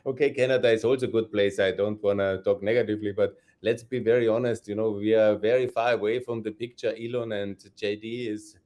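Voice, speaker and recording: Male podcast host, low voice, emphatic, chuckles between points. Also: steady tone, fairly quick, hardly any pauses, darkish tone. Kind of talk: political interview talk.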